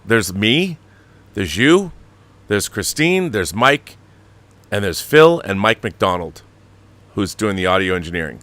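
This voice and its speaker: Bright, forceful low-voiced man hosting a show. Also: sing-song, measured pace, pauses often, dry recording. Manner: effusive, enthusiastic. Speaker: show host and producer. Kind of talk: casual chat on a livestream show.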